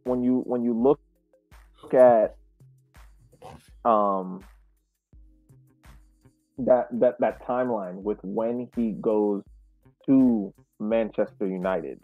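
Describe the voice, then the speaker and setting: Phone-like, lower-voiced man on a podcast. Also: fairly even intonation, no room sound, soft background music. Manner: halting, measured, repeats words. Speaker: podcast co-host. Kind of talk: casual sports podcast discussion. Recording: thin phone-like call audio.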